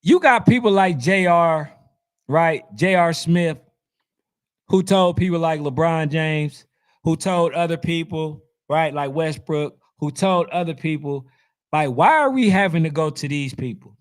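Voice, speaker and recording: A man with a fairly high, hoarse voice talks straight to his audience, as if his voice is wearing out. He comments on sports in an animated, preachy manner, reading out comments as he goes. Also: measured pace. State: losing his voice. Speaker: youth football channel host.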